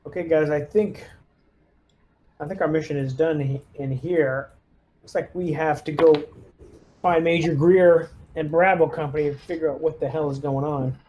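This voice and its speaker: Higher-pitched man playing a tabletop game. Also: steady tone, moderate pace, muffled recording, slight background noise. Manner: informal, hesitant, thinking aloud. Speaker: player in a tabletop roleplaying game. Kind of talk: casual in-character table talk.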